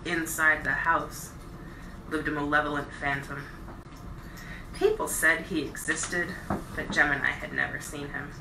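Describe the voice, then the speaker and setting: A woman reading a novel aloud as a bedtime storyteller, with expressive, deliberate phrasing and stressed words. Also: sits low, creaky. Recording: roomy echo, distant mic, faint noise.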